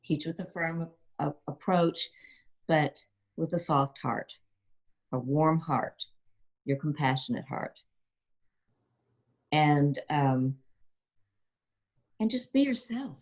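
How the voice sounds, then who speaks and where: A woman's voice: deep voice, expressive, takes it slowly, long pauses. A middle-aged woman, a yoga teacher and singer, giving earnest, encouraging advice in an interview and repeating her key phrases.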